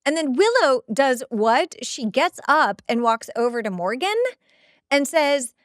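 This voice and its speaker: A woman hosting a casual TV rewatch podcast, talking in an animated, conversational way with incredulous asides. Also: sits high, a little slow, no room sound, studio quality. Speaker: podcast host.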